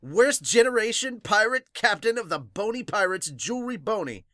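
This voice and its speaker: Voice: medium pitch, expressive, moderate pace, bright, forceful tone, dry recording, studio quality. A podcast host reading a manga chapter aloud, announcing captions dramatically and adding casual reactions.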